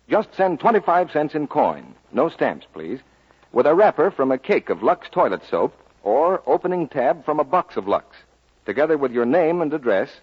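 A man reading a 1940s radio advertisement as a formal, measured announcer with a slightly husky voice. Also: mid-range voice. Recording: dull old 1940s radio recording.

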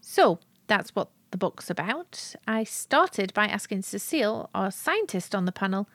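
A woman's voice: mid-range voice, sing-song, a little slow, fairly bright tone, dry recording. Woman, podcast host, scripted review, methodical, dry close mic. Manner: clear and methodical.

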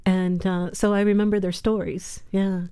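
A woman poet and mentor speaking reflectively in an interview, pausing often with fillers. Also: medium pitch, level tone, warm tone, smooth, no echo, clean studio sound. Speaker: city poet laureate, mentor. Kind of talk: casual interview talk.

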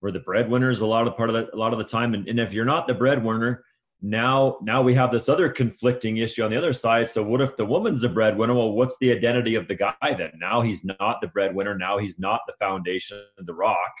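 Interview guest, male, middle-aged. Fairly deep voice, everyday wording, halting and conversational delivery. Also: fairly even intonation. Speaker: guest, men's coach. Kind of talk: casual interview answers.

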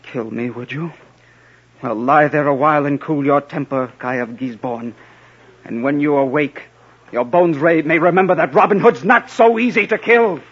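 An actor playing a gallant, confident young outlaw with a courtly, bold manner in an old radio drama. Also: male voice, fairly high pitch, normal speed, a bit bright, breathy edge. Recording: muffled vintage radio recording.

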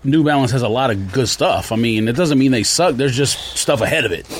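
A man's voice: mid-range voice, brisk, a little hiss or hum. A man co-hosting a podcast, chatting casually and stating his views in a matter-of-fact, firm way. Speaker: podcast co-host. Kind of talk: casual back-and-forth sneaker chat.

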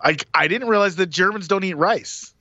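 A man's voice: high voice, moderate pace, pushed and bright, no echo, dull audio. A man co-hosting a podcast, chatting casually in an easygoing, hesitant way with many fillers. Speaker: podcast co-host. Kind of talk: casual chat.

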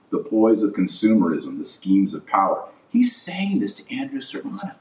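A man hosting a podcast, giving opinionated religious commentary in an emphatic, indignant manner full of rhetorical questions. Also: mid-range voice. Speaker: podcast host. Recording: roomy echo, distant from mic.

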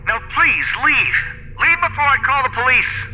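Man acting as distressed young woman, firm and pleading, high-pitched, sharp bright tone, horror audiobook, long reverb. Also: big pitch swings, moderate pace, faint music behind, full character voice. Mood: firm, upset. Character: terrified, pleading young woman, firmly resisting.

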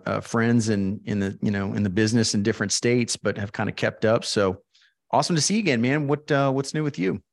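A man hosting a podcast, chatting casually and informally with a guest like an old friend. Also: mid-range voice. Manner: informal, chummy. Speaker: podcast host interviewing a guest.

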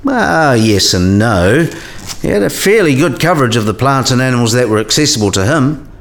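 A man's voice: mid-range voice, animated, moderate pace, natural voice. A man playing a learned professor in a radio play, explaining science history in a precise, didactic way. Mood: measured, instructive.

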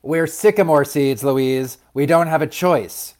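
A man's voice: fairly high pitch, measured pace, a little mellow, lightly acted. A man voicing a rigid, exasperated young boy seed in a children's story, reluctant and matter-of-fact. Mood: insistent.

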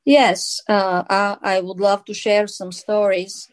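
A woman with a Bulgarian accent talks through her answers as an interviewed author. She is earnest and measured, pausing often on small fillers and restarting her sentences. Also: mid-range voice, little pitch movement, dry recording. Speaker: author and guest.

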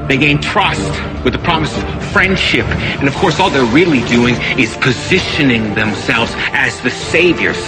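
A man's voice: medium pitch, expressive, normal speed, hardly any pauses, lightly acted. An actor playing an urgent man sounding a warning in a science fiction television drama trailer. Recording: trailer clip under loud music.

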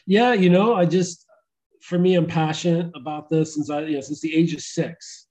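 A man's voice: a little high, normal speed, a little mellow, poor audio. A man in his fifties with an American accent, chatting casually as an interview guest. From upstate New York, United States. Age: 50s. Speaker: podcast guest, entrepreneur.

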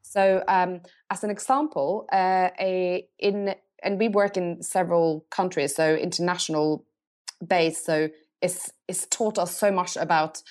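Woman, a bit low-pitched. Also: fairly even intonation, a little mellow. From Norway. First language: Norwegian. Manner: thoughtful, pauses to search for words and rephrases. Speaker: agency CEO, guest. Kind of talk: podcast interview answers.

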